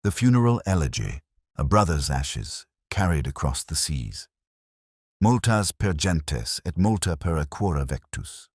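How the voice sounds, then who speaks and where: A man narrating a first-person detective story in a measured, brooding way, with deliberate pauses. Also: a bass voice, very animated, breathy edge, dry recording, clean studio sound.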